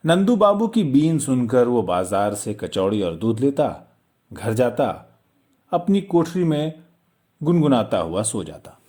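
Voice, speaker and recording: Slow, expressive male storyteller. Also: mid-range voice. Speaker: audiobook narrator, storyteller. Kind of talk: expressive literary story narration.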